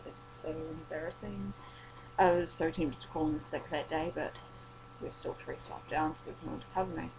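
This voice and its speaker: A woman's voice: low voice, steady tone, moderate pace, noisy background. A tired young woman, rambling and swearing in a casual late-night vlog, her voice worn and starting to go. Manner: rambling, sweary, self-deprecating. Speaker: vlogger.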